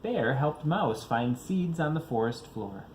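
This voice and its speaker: A man's voice: medium pitch, lively intonation, measured pace, slight background noise. A father reading a children's picture book aloud, expressively, varying his pace for young listeners.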